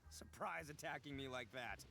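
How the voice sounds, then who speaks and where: A man's voice: medium pitch, normal speed, gravelly, bright, forceful tone, slight background noise. A man voicing a brash, hot-blooded young oni gang boss with goofy bravado in an anime video game. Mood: defiant.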